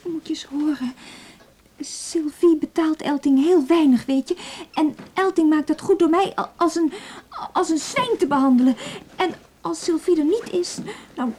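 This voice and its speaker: Radio play casting: actress as neglected, talkative teen girl, high-pitched, anxious, confiding in stumbling rambles, faint background noise. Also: moderate pace, darkish tone, natural voice. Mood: indignant. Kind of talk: radio drama acting, naturalistic dialogue.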